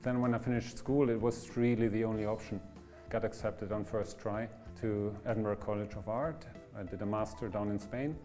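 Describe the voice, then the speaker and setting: A man of German origin, a painter, describes his path in a plain, matter-of-fact way. His voice sits low and warm. Soft music plays underneath. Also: steady tone, moderate pace. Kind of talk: interview monologue. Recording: faint music behind.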